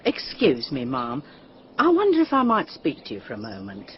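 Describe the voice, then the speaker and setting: An actress playing a deferential, anxious widowed cleaner, confiding hesitantly in a radio drama. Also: female voice, rumbling low, huge pitch swings, normal speed, highs cut off, slight background noise, exaggerated voice.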